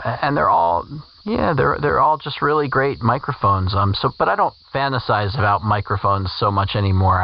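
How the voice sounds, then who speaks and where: Muffled, radio-like audio carries a man with a fairly low voice. He chats reflectively as a panelist, feeling his way haltingly through sentences. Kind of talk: casual reflective chat. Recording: dull muffled radio-like sound.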